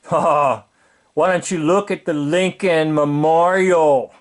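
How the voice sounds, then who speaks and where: Older American man, informal opinionated commentator. Sardonic, rhetorical, unhurried delivery, slightly high register.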